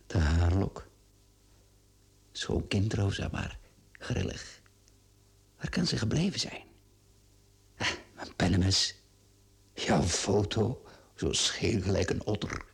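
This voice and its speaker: An actor playing a cynical, scheming middle-aged swindler in a radio drama, sly and mocking in manner. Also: male voice, low-pitched, creaky, breathy edge, exaggerated voice.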